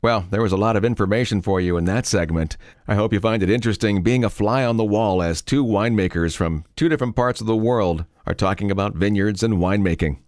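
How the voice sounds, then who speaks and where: Low-voiced podcast host, dry studio sound. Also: male voice, fast, few pauses, darkish tone, dry recording. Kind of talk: scripted narration.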